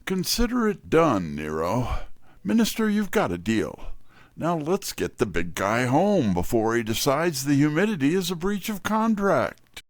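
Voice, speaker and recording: A man's voice: on the high side, very animated, a little slow, no echo, studio quality. An actor playing a detective's wry right-hand man, colloquial, in a scripted audio drama. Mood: brisk, wry.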